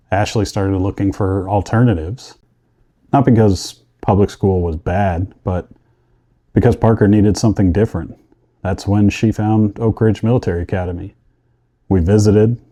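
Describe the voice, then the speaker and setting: A middle-aged man narrating his personal story in measured, earnest, deliberate short phrases. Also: on the low side, mellow. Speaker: vlogger telling his own story.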